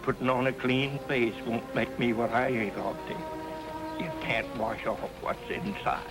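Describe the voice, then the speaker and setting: Casting: male actor as old frontier miner, American, folksy and hesitant, mid-pitched, vintage Western with score behind. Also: steady tone, unhurried, music in the background, close to an everyday voice. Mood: dejected. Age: older. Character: regretful, folksy old miner grandfather in the Old West. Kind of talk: 1950s TV Western acting.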